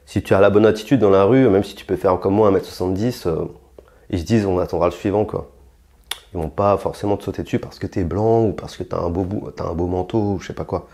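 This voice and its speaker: A man's voice: steady tone, fast, warm tone. A young French man answering interview questions bluntly and provocatively, with many restarts and fillers. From France. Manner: rises in pitch and force when heated. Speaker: interviewed rapper.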